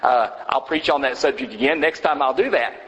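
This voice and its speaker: A man teaching a church class in a casual, halting way, with many fillers and restarts. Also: mid-range voice, fairly bright tone, big-room sound, poor audio. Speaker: preacher teaching a congregation class. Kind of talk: informal Bible class teaching. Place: church meeting room.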